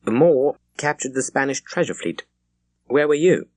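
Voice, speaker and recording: A man's voice: medium pitch, measured pace, no room sound, voice pushed up, close to an everyday voice. Man voicing a brusque admiral, raised commanding tone. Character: stern, brusque grey admiral of the sailing navy. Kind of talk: audiobook character voice.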